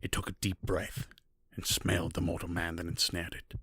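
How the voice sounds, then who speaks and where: Deep, gravelly man as fantasy storyteller. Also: little pitch movement, normal speed, a bit bright, no room sound, clean studio sound, heavily acted. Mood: solemn. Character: solemn male storyteller of a dark fantasy tale. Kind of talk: scripted narration.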